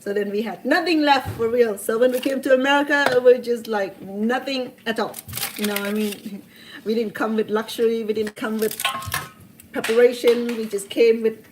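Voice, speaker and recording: A woman's voice: on the high side, steady tone, normal speed, background noise. Earnest Cambodian-accented woman in her sixties. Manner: earnest, heartfelt, with many restarts. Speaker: cooking show host. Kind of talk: personal storytelling in a cooking video.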